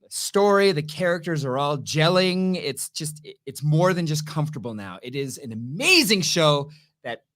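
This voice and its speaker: A man's voice: on the high side, expressive, normal speed, fairly bright tone. A man co-hosting a TV review show, telling stories in a casual, playful, emphatic way with exclamations. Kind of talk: casual banter and storytelling.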